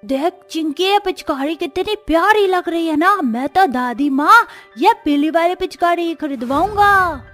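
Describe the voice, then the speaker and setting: A woman voicing an eager, excitable small village child in a family story. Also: very high-pitched, a little slow, soft background music, pitched above the performer's own voice, heavily acted.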